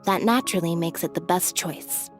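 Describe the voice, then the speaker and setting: An actress playing a calm, reserved young woman in a video game, with deadpan delivery. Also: fairly low pitch, normal speed, soft background music, lightly acted. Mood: cool.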